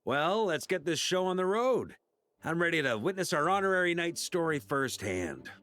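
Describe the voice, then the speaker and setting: An actor playing a jovial, laid-back middle-aged knight grandmaster in a video game, with a hearty, casual manner. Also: male voice, fairly high pitch, very animated, normal speed, a bit bright.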